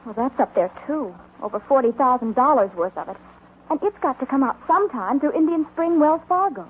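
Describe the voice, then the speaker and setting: An actress playing an earnest, anxious frontier wife in a 1950s radio western. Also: female voice, sits high, expressive, a little fast. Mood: pleading. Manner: earnest, anxious, clearly spoken. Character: worried, earnest frontier wife pleading for help. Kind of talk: 1950s radio drama acting. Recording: tinny, noisy old radio transcription.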